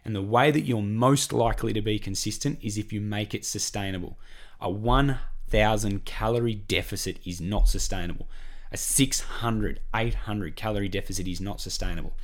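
A hoarse Australian man with a croaky voice from a cough, hosting a solo podcast as a weight-loss coach and talking in an encouraging, repetitive, chatty way. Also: lowish voice.

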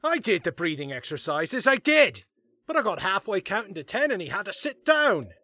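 A man playing a cocky, defensive political staffer in a comedy drama, excitable and indignant. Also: high voice, moderate pace, dry recording, clean studio sound, full character voice. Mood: exasperated. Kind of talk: fast comedy audio drama dialogue.

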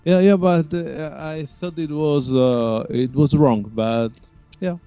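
Slow, mellow man. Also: on the high side, steady tone, clean tone, dull audio, faint music behind. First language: Portuguese. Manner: halting, searching for words, many fillers. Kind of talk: casual conversation.

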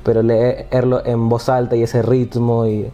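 A man's voice: medium pitch, little pitch movement, a little slow, mellow, dull audio. A man hosting a casual talk about poetry, speaking hesitantly with frequent restarts and tag questions. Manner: hesitant, many restarts and "¿no?" tags. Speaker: host.

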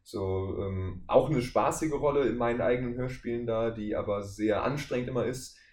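A man's voice: mid-range voice, normal speed, darkish tone. A man speaking as a podcast guest and voice actor, explaining his work in an informal, conversational way. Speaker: guest, voice actor and audio drama maker. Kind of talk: casual podcast interview. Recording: echoey room, faint background noise.

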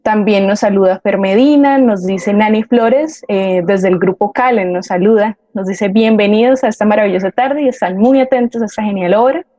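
A woman's voice: mid-range voice, normal speed, few pauses, mellow, no room sound. A woman with a Colombian accent co-hosting a live programme, giving greetings and reading out audience messages. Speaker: co-host reading audience comments.

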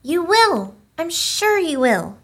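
A woman's voice: very high voice, big pitch swings, takes it slowly, a bit bright, full character voice. A woman playing a supportive, gossipy small-town mother in a radio play, with a chatty conversational manner. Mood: encouraging.

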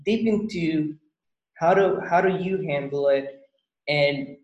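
A man's voice: a little high, takes it slowly, a little mellow. A man hosting a podcast, talking casually and rambling, often repeating his words. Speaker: podcast host. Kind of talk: casual interview chat. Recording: echoey, dull-sounding room.

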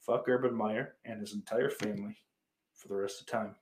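A man's voice: lowish voice, steady tone, moderate pace, a little mellow. A man livestreaming in casual chat, rambling and swearing freely while reading out messages from his viewers. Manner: profane, rambling, self-deprecating. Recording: roomy echo.

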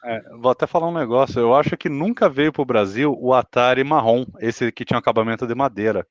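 A man in his late thirties chatting casually and quickly, hedging his opinions as he goes. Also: medium pitch, dry recording, lo-fi sound. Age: 30s. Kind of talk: casual chat.

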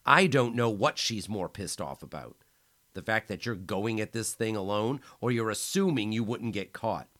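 A man's voice: fairly low pitch, animated, a little slow, sharp tone, pitched above the performer's own voice, heavily acted. A man voicing a cruel male enforcer who sneers and threatens. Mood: menacing. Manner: threatening, sneering, then falsely soothing.

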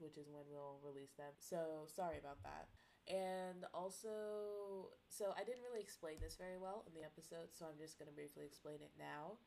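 A congested woman hosting a podcast, her voice low-pitched and mellow. She rambles and apologizes a lot in a casual intro. A faint hiss of room ambience sits behind her. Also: rough recording. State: stuffed up from a cold. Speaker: podcast host.

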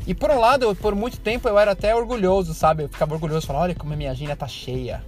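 A man's voice: high voice, steady tone, rapid-fire. A middle-aged man with a Brazilian Portuguese accent talks through his thoughts in a casual podcast monologue, friendly and conversational, with lots of fillers and restarts. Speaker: podcast host and Portuguese teacher. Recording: music playing clearly in the background.

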